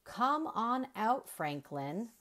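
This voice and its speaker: A woman's voice: on the high side, very animated, very slow, pushed and bright, pitched above the performer's own voice, exaggerated voice. A woman voicing a friendly young male bear, a good sport, in a children's storybook. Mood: coaxing.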